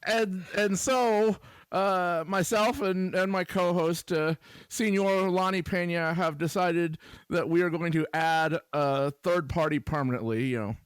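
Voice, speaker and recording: A man co-hosting a podcast in casual banter, with a dry, deliberate, playful delivery. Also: high voice, clean tone, no room sound. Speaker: podcast co-host guest.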